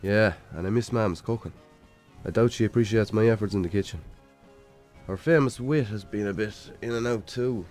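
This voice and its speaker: Guy acting tired irish farmer son, lowish voice, dry and grudging but kindly, radio drama w music. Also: a little mellow, natural voice. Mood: chatty, candid. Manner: wry, sardonic, grudging. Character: weary bachelor farmer son, wry, put-upon, kindly.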